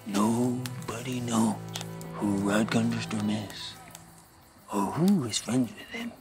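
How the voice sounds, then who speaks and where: A man's voice: medium pitch, takes it slowly, over music, lightly acted. An actor playing a grizzled, ominous old man telling a dramatic campfire tale in a comedy sketch.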